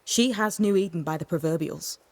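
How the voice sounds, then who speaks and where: A tough outcast woman guide in a game, lowish voice, blunt, wary, no nonsense. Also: fairly quick, a little mellow. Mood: stern warning. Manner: terse, firm, no-nonsense. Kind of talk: dramatic video game acting.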